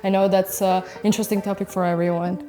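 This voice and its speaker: A woman's voice: mid-range voice, little pitch movement, warm tone, faint music behind. Woman, inquisitive co-host. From Georgia. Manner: inquisitive, slightly halting English. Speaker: co-host, knowledge officer. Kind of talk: on-location interview. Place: indoors in an apartment.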